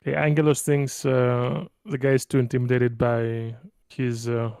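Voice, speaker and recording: A man playing a tabletop roleplaying game, casually improvising in a halting way and stumbling over words. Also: mid-range voice, little pitch movement, a little mellow, clear, resonant tone, dry recording. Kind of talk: casual improvised character description. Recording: dry close voice with background music.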